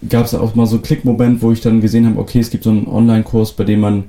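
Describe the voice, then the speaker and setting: A young man with North Frisian as his first language, speaking in a very dark, mellow tone and a slightly low register. His manner is relaxed and thoughtful, full of small fillers and restarts as he answers questions. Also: racing. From Föhr, northern Germany. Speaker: musician guest. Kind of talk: casual interview answers.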